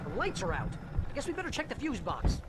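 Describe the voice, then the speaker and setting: An actor playing a loud, wisecracking American best-friend sidekick in a video game, excitable, jokey and casual. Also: male voice, high-pitched, quick pace, very noisy. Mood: joking, casual. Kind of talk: video game cutscene acting. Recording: loud music behind the voice. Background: loud music.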